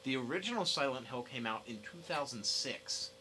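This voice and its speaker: A man's voice: medium pitch, expressive, normal speed, pushed and bright, slight background noise, poor audio. A young man hosting a casual podcast discussion. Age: young adult. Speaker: podcast host.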